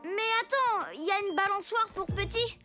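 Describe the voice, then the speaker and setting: A performer voicing a caring, energetic schoolgirl big sister and superhero, protective and exclamatory, in a children's cartoon. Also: extremely high pitch, moderate pace. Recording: children's music behind voice.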